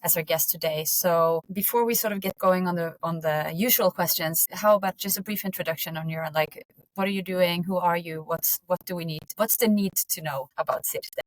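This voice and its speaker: A woman with a slightly low voice co-hosting a podcast. She is from Norway and talks in an enthusiastic, casual way. She keeps softening her phrases with small hedges. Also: fairly quick, dry recording, poor audio. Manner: enthusiastic, hedging with sort of and you know. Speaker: podcast co-host. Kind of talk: casual interview talk.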